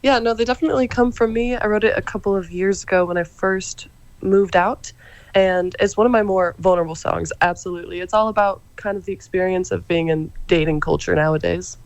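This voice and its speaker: A young woman chats with radio hosts about her music, answering in a friendly, upbeat way. Her voice sits at a typical pitch, and it sounds close and dry, with no room echo around her. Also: expressive, a little fast. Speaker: musician guest. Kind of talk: radio interview.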